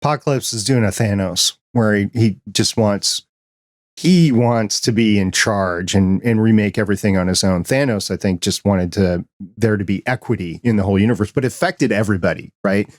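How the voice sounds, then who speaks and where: A man's voice: on the low side, normal speed, no room sound, clean studio sound. A man with an American accent talking casually as a podcast co-host, rambling and acting out imagined reactions as he makes his points. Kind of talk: casual discussion and commentary.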